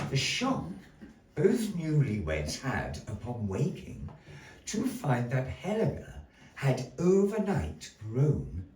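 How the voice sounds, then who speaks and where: A man telling a dark fairy tale live in a florid, dramatic manner, with deliberate pauses. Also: on the low side, slight background noise. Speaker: storyteller in a puppet show. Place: indoor gallery performance space. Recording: echoey room, distant mic.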